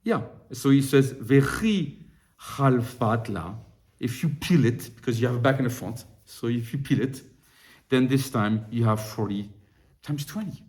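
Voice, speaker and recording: A man teaching a study group on a religious text in an informal lesson. He often restarts and repeats words, then turns more animated as he works through a passage. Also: medium pitch. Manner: halting, restarts and repeats words while explaining. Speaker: Torah teacher.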